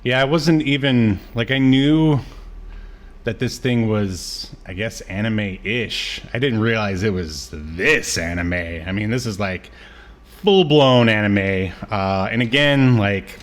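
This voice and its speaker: A man's voice: on the low side, slow pace. A man co-hosting a podcast in casual chat, often hesitant and thinking aloud as he talks. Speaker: podcast co-host. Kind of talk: casual chat about cartoons.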